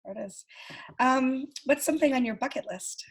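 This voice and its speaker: A woman's voice: high voice, normal speed, poor audio. A woman in her early fifties hosting a video call interview, friendly and chatty, laughing easily. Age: 50s. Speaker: host.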